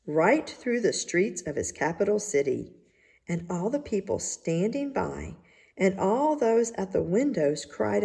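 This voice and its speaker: A woman reading a children's tale aloud as narrator, in a measured, deliberate way. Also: fairly low pitch, gravelly, mellow. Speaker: story reader. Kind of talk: scripted storybook narration.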